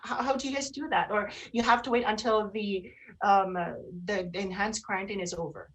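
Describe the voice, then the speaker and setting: A woman hosting an online radio interview in a chatty, halting way, often rephrasing herself. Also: medium pitch, steady tone, rough recording. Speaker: host of an online radio show.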